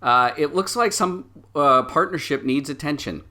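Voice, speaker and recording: A man acting as game master, narrating a story game and reading card meanings aloud in a conversational way with hesitant pauses. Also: on the high side, very noisy. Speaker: game master of tabletop role-playing show.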